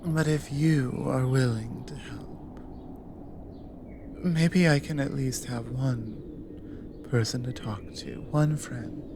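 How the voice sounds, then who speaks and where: A woman playing an exiled cougar guardian in an intimate ASMR audio drama, lonely and wary yet flirtatious, speaking haltingly in murmurs and whispers. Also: a bass voice, background noise, exaggerated voice. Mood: cautious hope. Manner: slow, halting, breathy murmurs dropping into whispers.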